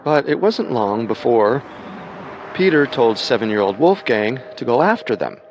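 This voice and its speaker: A man narrating a scripted true-crime story with measured, dramatic storytelling. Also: mid-range voice, very animated, dull audio, a little hiss or hum.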